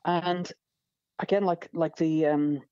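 A woman's voice: deep voice, steady tone, slow, dark tone, dry recording, muffled recording. A woman with a Northern Irish accent, a fishing guide telling stories as a podcast guest, enthusiastic and rambling with many fillers. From Northern Ireland.

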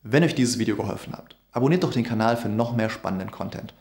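A man's voice: fairly low pitch, fast, vocal fry, darkish tone. A man in his thirties, a veterinarian, giving an earnest, emphatic scripted explainer to camera in a dim home studio. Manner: earnest, emphatic, gestures with hands. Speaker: veterinarian YouTuber. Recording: roomy echo.

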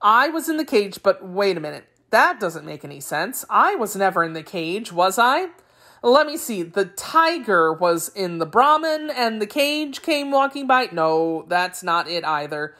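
Sly jackal for a storybook, played by a woman: polite, dithering, playing confused, with very animated swooping pitch. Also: a little slow. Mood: flustered, muddled. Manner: polite, dithering, feigning bewilderment. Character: sly jackal feigning befuddled, fretful confusion. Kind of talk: storybook character voice.

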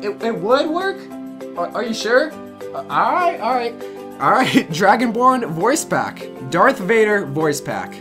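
Lively woman hosting over music. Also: medium pitch, measured pace. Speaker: video creator and host. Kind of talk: casual scripted list narration with comic asides. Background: background music.

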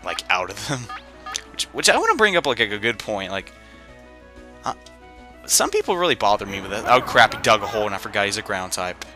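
Young man, low voice, rambling gameplay chatter, fillers, game music behind. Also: sing-song, fairly quick. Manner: rambling, chatty, self-deprecating, frequent fillers. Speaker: let's play commentator. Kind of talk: casual gameplay commentary.

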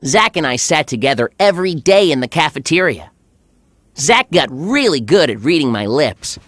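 A performer playing an earnest, friendly schoolboy who narrates a children's animated story in a measured, clearly enunciated way. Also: mid-range voice, no echo. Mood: earnest storytelling.

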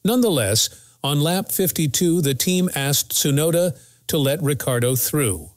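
A man's voice: a little high, expressive, unhurried, no room sound, studio quality. A man reading a scripted race recap as the narrator of a motorsport news channel.

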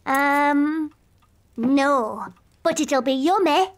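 A woman playing a bossy, excitable little girl princess in a cartoon, with a childlike voice and dramatic, emphatic delivery. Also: high voice, very slow. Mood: proudly playful.

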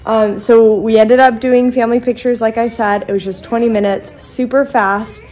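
A woman's voice: on the high side, steady tone, moderate pace, a little mellow. A woman in her thirties, a little stuffed up, chatting casually to her phone camera in a home basement, rambling with frequent ums. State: stuffed up, congested. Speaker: vlogger. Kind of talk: casual vlog chat to camera. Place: home basement playroom, indoors. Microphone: phone held close to the face.

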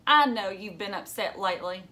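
A woman's voice: medium pitch, animated, fairly quick, pushed and bright. A woman reading the part of a level-headed but exasperated schoolgirl in a storybook, frank and emphatic.

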